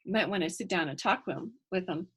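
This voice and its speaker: A woman's voice: lowish voice, fast talker, slightly rough. A woman reading a children's story expressively, with chatty asides to young listeners. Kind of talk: expressive picture-book read-aloud with chatty asides to children.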